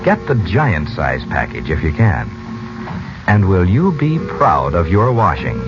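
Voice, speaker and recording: A man announcing in 1940s radio style, giving a persuasive, emphatic sales pitch. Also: huge pitch swings, unhurried. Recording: dull vintage radio recording, loud music.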